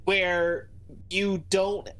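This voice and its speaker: A woman co-host chatting casually about a game, thinking aloud in a halting way. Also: lowish voice, level tone, a bit bright. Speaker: gaming co-host. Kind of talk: casual chat reviewing a game.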